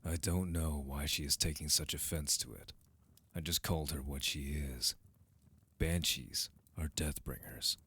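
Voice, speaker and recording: A man's voice: very deep voice, steady tone, unhurried, bright, forceful tone, slightly rough, exaggerated voice. A man playing a haughty, duty-bound, coldly disdainful figure in a fantasy audio roleplay, speaking in a formal, condescending way.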